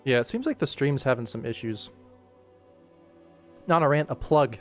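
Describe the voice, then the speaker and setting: A man's voice: medium pitch, big pitch swings, moderate pace, soft background music. A young American man talking casually and enthusiastically on a livestream, emphatic and given to going off on tangents. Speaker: livestream host.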